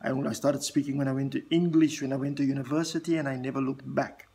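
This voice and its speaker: Husky South African man thinking aloud. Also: mid-range voice, fairly even intonation, fast talker, mellow. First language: Afrikaans. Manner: earnest, thinks aloud with many fillers and restarts. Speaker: vlog host. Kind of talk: casual direct-to-camera talk.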